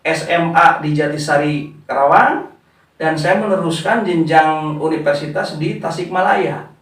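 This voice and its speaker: A man's voice: a little high, a bit bright, recorded from a distance. Man speaking off-mic in an echoey room. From West Java, Indonesia. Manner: unhurried, with frequent "eh" fillers, addressing the interviewer as "Pak". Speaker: founder of a community organization. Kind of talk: relaxed interview answers.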